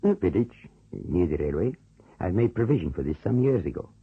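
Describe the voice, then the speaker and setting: An actor in an old radio drama playing a measured, calculating communist officer, speaking formally and deliberately. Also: male voice, low voice, big pitch swings, breathy edge, no room sound, full character voice. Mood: earnest, guarded. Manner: deliberate, formal, hesitant pauses. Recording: muffled, narrow-band old radio recording.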